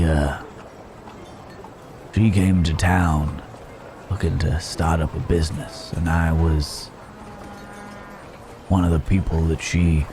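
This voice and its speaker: A man playing a rugged, protective cowboy drifter in an intimate ASMR Wild West roleplay, with a drawl, teasing and reassuring as he pauses between thoughts. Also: low-pitched, a little mellow, a little hiss or hum. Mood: relaxed, reminiscing.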